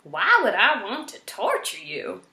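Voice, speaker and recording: A crotchety old male porcupine from a children's storybook, acted out by a woman reader, blustering and indignant. The voice is forceful and jumps up and down in pitch, recorded in a roomy space. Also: huge pitch swings, measured pace, heavily acted. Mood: bewildered. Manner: bellowing, grumbling, indignant.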